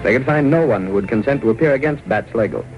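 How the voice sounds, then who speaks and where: A man's voice: mid-range voice, animated, fast talker, lightly acted. An American man giving serious scripted narration for an old-time radio serial. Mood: grave, urgent. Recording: dull old radio recording. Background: music.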